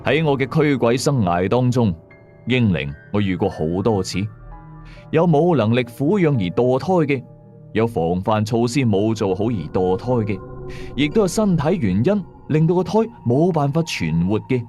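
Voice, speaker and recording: A man's voice: medium pitch, big pitch swings, moderate pace, warm tone, close to an everyday voice. A man playing a veteran exorcist who narrates his ghost cases in Hong Kong Cantonese, explaining and moralizing to listeners in a radio horror story. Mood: stern, disdainful. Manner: explanatory, conversational asides to listeners. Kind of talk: scripted first-person horror storytelling. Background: music.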